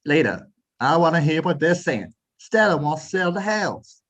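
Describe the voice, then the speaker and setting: Man playing a high-voiced grumbling ghost grandfather. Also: big pitch swings, moderate pace, fairly bright tone. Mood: conspiratorial. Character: old ghost grandfather, grumbling, watchful eavesdropper. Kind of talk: amateur radio drama acting.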